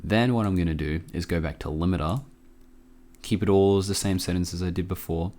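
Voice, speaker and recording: A man with a low voice walks through a software tutorial casually. He sounds a little under the weather and talks in an offhand, halting way, close to the mic. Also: fairly even intonation. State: sick with a cough. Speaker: tutorial presenter. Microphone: close microphone.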